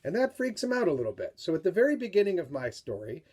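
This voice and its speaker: A middle-aged man giving an author's talk and read-aloud for young readers, telling it in an animated, dramatic storyteller's manner. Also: high voice, fast talker, husky. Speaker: children's author reading from his book.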